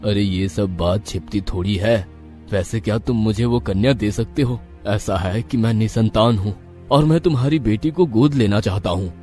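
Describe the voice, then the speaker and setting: A man playing a rich, ruthless, scheming astrologer father in a moral story, with deliberate, self-important dramatic delivery. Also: on the low side, dark tone, faint music behind, close to an everyday voice. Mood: smooth, persuasive.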